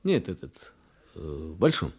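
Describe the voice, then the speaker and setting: An actor playing a police investigator in a Russian radio play, polite, measured and quietly ironic as he questions witnesses. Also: very deep voice, wildly swooping pitch, slightly rough, full character voice. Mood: casually probing.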